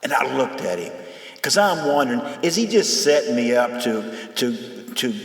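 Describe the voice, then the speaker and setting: A man speaking as a storyteller and informal preacher, earnest and folksy, with emphatic pauses. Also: medium pitch, sing-song, breathy edge. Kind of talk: dramatized first-person storytelling turning to informal sermon. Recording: music bed under the voice. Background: music.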